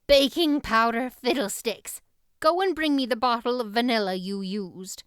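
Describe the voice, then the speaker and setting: A woman voicing a stern, blunt, exasperated older guardian in a storybook, brisk, emphatic and scolding. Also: fairly high pitch, clear, resonant tone, no echo, clean studio sound, natural voice. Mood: horrified scolding.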